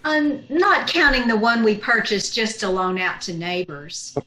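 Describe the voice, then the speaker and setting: A woman's voice: a little high, a little slow. Woman acting a wry schemer in an echoey room. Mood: mock innocent. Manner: dry, deadpan quips. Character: wry, scheming, man-struck schoolteacher woman. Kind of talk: old-time radio comedy acting. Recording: roomy, echoing video-call recording.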